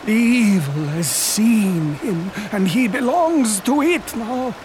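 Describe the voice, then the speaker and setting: A tortured old priest in a radio drama. He speaks very slowly and gravely, intoning with doom-laden fervor and guilt. Rushing rain and water sound clearly behind his voice. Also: high-pitched, lively intonation, noisy background. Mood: grim. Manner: grave, solemn, intoning prayers.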